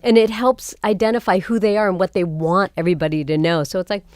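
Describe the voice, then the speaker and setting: A woman's voice: mid-range voice, steady tone, quick pace, darkish tone, no echo. A woman chatting casually and sharing anecdotes as a panelist. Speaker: panelist discussing a play.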